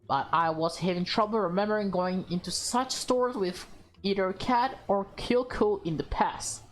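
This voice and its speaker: A woman's voice: mid-range voice, moderate pace, soft background music, rough recording. A woman doing a casual playthrough, reading visual novel dialogue aloud, stumbling over lines and reacting to them. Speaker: video creator playing a visual novel.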